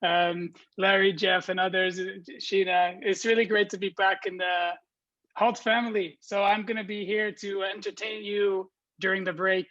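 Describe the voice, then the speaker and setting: A man's voice: sits high, level tone, moderate pace, pushed and bright, muffled recording. A man in his twenties from Belgium, giving a friendly, informal talk to students as a guest speaker, with many ums and restarts. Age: 20s.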